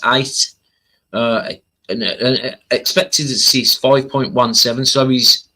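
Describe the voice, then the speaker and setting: A man's voice: medium pitch, sharp tone, poor audio. A man casually talking through football stats as a livestream host, in a hesitant, conversational way that often circles back and repeats.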